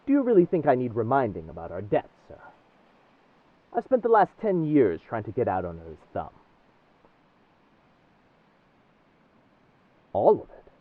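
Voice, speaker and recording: Man playing a deep-voiced, commanding pirate captain. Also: narrow, tinny audio, heavily acted. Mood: firm, concerned. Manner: old seafaring diction, addresses others as lass and sir. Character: commanding pirate captain, roguish, by turns tender and menacing. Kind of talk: roleplay audio drama, speaking to the listener.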